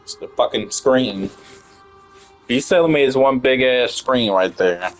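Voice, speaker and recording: A man's voice: medium pitch, a little slow, soft background music, poor audio. A man giving casual, blunt, profane and slangy reactions as he plays through a game. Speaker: gamer reacting to game story. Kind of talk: casual gameplay commentary.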